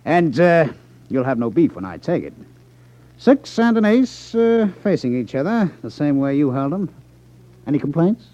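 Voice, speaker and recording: Gruff American gambling boss. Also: male voice, on the high side, very animated, normal speed, dark tone, dull audio. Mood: mock-polite menace. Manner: gruff, domineering, sneering. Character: crooked gambling racket boss, menacing, short-tempered, sardonic. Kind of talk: 1950s radio drama acting. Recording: 1950s radio recording.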